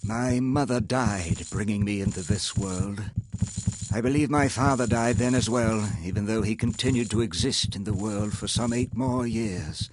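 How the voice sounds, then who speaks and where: A man's voice: fairly low pitch, slight background noise, close to an everyday voice, poor audio. A man playing a wealthy older industrialist in a video game, proud yet haunted, speaking formally and articulately with a wistful storyteller's manner. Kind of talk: scripted video game dialogue.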